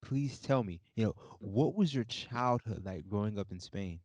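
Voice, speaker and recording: A man's voice: lowish voice, expressive, normal speed, darkish tone, no echo. A man hosting a podcast interview in a casual, upbeat, informal way.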